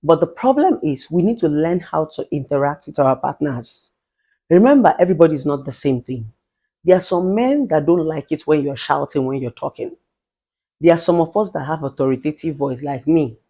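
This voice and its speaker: A woman's voice: low voice, lively intonation, normal speed, breathy edge. A middle-aged Nigerian woman, a relationship therapist, giving emphatic advice with an authoritative, commanding voice and bits of Pidgin. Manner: forceful, emphatic, mixes in Pidgin phrases. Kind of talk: motivational advice monologue.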